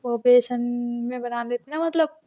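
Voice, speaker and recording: A woman in her thirties speaks in a somewhat high voice. Her talk is informal and conversational, as in a private call with someone she knows well. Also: a little slow, warm tone. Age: 30s. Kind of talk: casual chat on a personal call.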